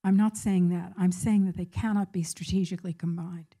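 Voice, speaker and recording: An older woman speaking as a professor at a public talk, answering a question in deliberate, measured, emphatic phrases. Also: lowish voice, steady tone, mellow, husky. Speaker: academic speaker, professor of peace studies.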